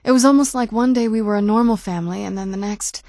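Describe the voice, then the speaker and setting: A woman acts out a young-looking vampire noblewoman from a fantasy video game. She is wry and guarded, a wounded daughter who pauses before her dry understatements. Her mid-pitched voice is recorded close and very dry, with no room around it. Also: a little mellow, dry recording, natural voice. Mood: wistful, sad. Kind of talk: video game character dialogue.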